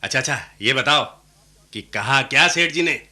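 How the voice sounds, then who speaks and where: A man's voice: medium pitch, expressive, normal speed, sharp tone. An actor playing a sly, scheming man in a radio play, oily, chuckling and blustering, fawning on his betters. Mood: blustering, gleeful. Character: sly, scheming, fawning male matchmaker brother. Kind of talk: Hindi radio play acting.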